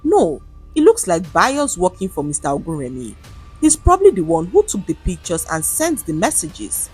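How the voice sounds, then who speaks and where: A woman's voice: on the low side, big pitch swings, normal speed, darkish tone, breathy edge, soft background music. A woman with a Nigerian accent voicing a firm, suspicious teenage schoolgirl in a dramatic story.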